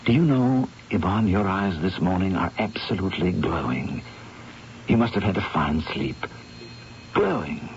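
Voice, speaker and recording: An actor playing a troubled, obsessive painter in a radio mystery drama, speaking with theatrical intensity and hesitant repetitions. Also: male voice, sits low, lively intonation, unhurried, slight background noise. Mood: awed, absorbed. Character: troubled, obsessive male painter, fearful and awestruck. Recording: muffled vintage radio broadcast, music underneath.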